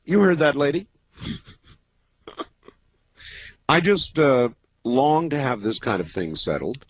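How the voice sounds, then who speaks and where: A man in his fifties hosting a late-night call-in radio show, talking in a measured, musing way with many pauses. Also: fairly low pitch, husky, no echo. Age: 50s. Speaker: radio talk show host. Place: radio studio. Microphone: close studio microphone.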